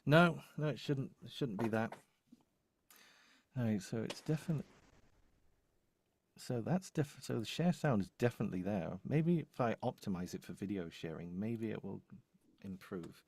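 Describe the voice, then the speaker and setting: Casual, lowish male voice, halting and self-muttering, informal live online session. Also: animated, creaky, slight background noise. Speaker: co-host and audio producer. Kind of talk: casual live troubleshooting chat. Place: indoors on a video call. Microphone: microphone on a video call.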